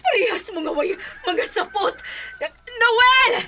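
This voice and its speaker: A very high, exclaiming woman, scandalized and agitated, with a Bisaya accent. It is Filipino radio drama with very heavy noise. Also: expressive, measured pace. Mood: shocked pleading. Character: loud, scandalized woman of the household. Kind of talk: excitable radio drama acting.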